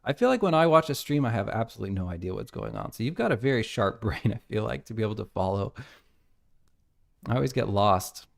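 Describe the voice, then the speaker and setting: American game dev streamer, lowish voice with a gravelly edge, talks through his code as he works. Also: big pitch swings, fast talker. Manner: thinking aloud, reading code out. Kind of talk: casual live coding stream.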